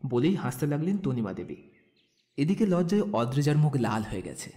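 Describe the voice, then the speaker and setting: A man narrating a scripted romantic story with expressive storytelling delivery. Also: medium pitch, fairly quick. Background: background music.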